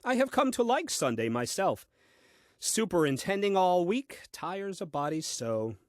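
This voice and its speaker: Casting: man to play puzzled, literal-minded first husband in a comic audio play; lively swooping delivery, dry pedantry, exasperated temper. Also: high-pitched, dry recording. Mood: weary, dry. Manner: deliberate, theatrical, dry and pedantic.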